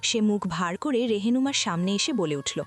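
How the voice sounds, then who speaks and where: A woman's voice: medium pitch, lively intonation, moderate pace. A woman reading scripted story narration as the narrator. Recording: music behind the voice.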